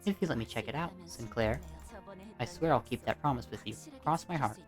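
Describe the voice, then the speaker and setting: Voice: medium pitch, a little fast, natural voice. Sly, bold teenage girl, pushy and teasing, for a story voice-over played by the narrator, with music. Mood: wheedling, casual.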